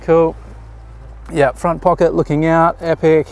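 A man's voice: a little high, level tone, unhurried, darkish tone, slight background noise. A man in his thirties, a photographer, casually explaining his shots while giving directions to a model outdoors. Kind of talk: casual behind-the-scenes commentary. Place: outdoors on a beach jetty.

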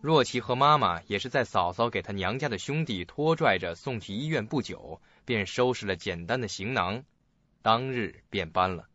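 A man's voice: fairly low pitch, animated, moderate pace, pushed and bright, no room sound. A man narrating a scripted suspense drama as a dramatic storyteller. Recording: dull lo-fi audio with music.

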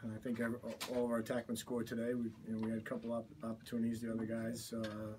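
A man in his fifties, a head coach giving a postgame interview in a small office, talking haltingly with many fillers and restarts. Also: medium pitch, completely flat delivery, a little mellow. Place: small cluttered office, indoors. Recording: distant mic with background noise.

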